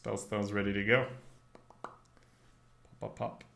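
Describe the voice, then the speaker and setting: A man's voice: on the low side, little pitch movement, measured pace, vocal fry. A young man, a native Dutch speaker, giving casual live gameplay commentary, thinking aloud in slangy English as he streams. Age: young adult. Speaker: game streamer. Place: indoor room at a desk, streaming webcam. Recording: roomy echo, faint background noise.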